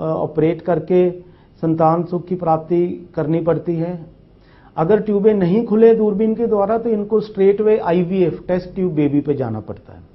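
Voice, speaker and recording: A middle-aged man with a Punjabi accent explains fertility treatments from behind his office desk, steady and measured, pausing on small fillers. His voice sits high for a man. The sound is dull and lo-fi, picked up by a camera across the room. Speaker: fertility doctor. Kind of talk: explaining a medical topic.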